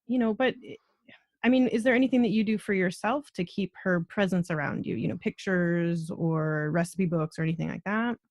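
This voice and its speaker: A woman in her thirties hosting a personal podcast interview, speaking in a halting, casual conversational way. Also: on the low side, dry recording, clean studio sound.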